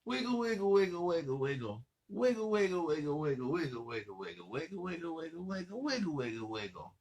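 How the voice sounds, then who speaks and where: A man's voice: a little high, big pitch swings, a little fast, a little mellow. A man hosting a children's show, talking to kids in a playful, encouraging way with repetitive, sing-song phrasing. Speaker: children's storytime host. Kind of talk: children's show presenting, leading exercises.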